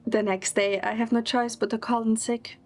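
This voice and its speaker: A woman's voice: a little high, steady tone, normal speed, faint music behind. A woman narrating a scripted first-person romantic story in an audio drama.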